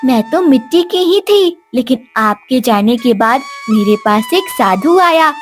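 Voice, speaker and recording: A woman's voice: sits high, animated, a little slow, faint music behind. A woman voicing a sweet, innocent, trusting little girl in a cartoon story. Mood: eager. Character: sweet innocent little girl, clay doll come alive.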